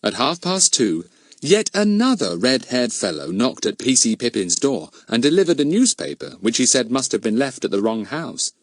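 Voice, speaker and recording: A man reading a children's story as an audiobook narrator, in an expressive storytelling delivery. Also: medium pitch, normal speed, no echo.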